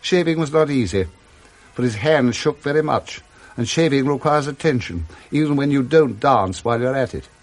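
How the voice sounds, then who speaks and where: Expressive English male storyteller, lively pitch. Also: normal speed, soft background music. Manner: expressive, relishing the words. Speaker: narrator. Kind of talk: dramatic storybook narration for radio.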